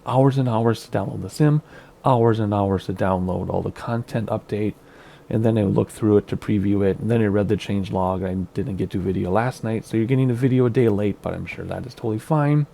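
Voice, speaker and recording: A man with a raspy, smoke-roughened voice talks through a game at home, chatty and rambling as he thinks aloud. His voice sits low and gravelly, with a mellow, dark tone. A faint hiss runs underneath. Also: brisk. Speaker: flight sim video host. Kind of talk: casual gameplay commentary. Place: home computer setup.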